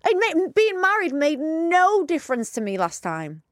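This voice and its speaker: A woman's voice: extremely high pitch, wildly swooping pitch, moderate pace, dry recording, clean studio sound. A British woman in her thirties, co-hosting a podcast in casual, jokey chat. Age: 30s. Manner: chatty, laughs a lot, jokey. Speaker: podcast co-host.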